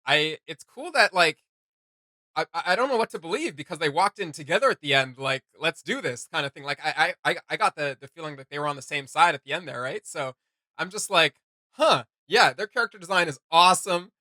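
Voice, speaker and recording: A man co-hosting a reaction video, chatty and excitable, rambling with many restarts. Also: on the high side, brisk, dry recording. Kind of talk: casual reaction chat.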